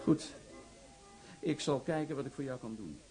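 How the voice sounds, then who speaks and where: An actor playing an indignant, excitable senior officer held in a prison camp in a radio play. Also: male voice, medium pitch, moderate pace, over music, natural voice. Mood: agitated.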